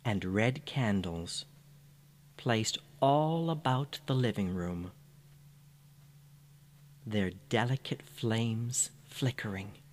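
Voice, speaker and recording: Very slow-speaking man leading guided meditation. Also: on the low side. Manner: soothing, unhurried, gentle phrasing.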